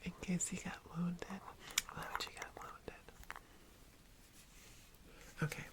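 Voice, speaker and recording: A woman whispering as a wary nature being. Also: natural voice. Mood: suspicious whisper. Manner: soft whispering, repeating phrases slowly. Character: wary, suspicious female nature being who distrusts humans. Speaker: ASMR performer. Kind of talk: ASMR roleplay.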